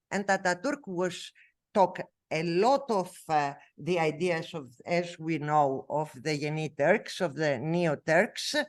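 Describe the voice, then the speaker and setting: A woman from Greece with a Greek accent, giving an academic lecture in English, her second language, with many fillers and self-corrections. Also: fairly low pitch. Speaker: researcher giving a talk.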